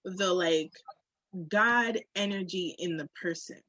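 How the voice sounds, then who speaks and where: Woman podcaster, husky mid-range voice, reflective and blunt, profane, casual talk, lo-fi sound. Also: on the low side, slow, a bit bright, no room sound. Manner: frank, uses profanity, reflective. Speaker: podcast co-host. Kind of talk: candid casual conversation. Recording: dull, muffled lo-fi audio.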